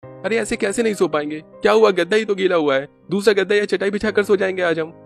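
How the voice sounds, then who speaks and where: A man's voice: high-pitched, fast talker, creaky, faint music behind. A man voicing a stubborn, easygoing father-in-law in a Hindi cartoon story.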